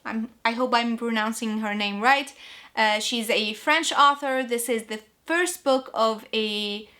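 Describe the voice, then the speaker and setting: A woman's voice: a little high, measured pace, pushed and bright. A young woman whose first language is not English, chatting casually about her reading plans in a rambling, self-correcting way. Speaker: book vlogger.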